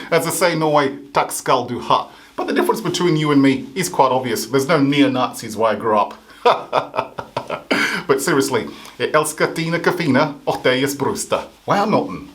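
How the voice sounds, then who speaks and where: A man's voice: on the high side, fairly bright tone. A man from Africa hosting a comedy show, delivering a satirical monologue with sarcastic, punchy mock outrage.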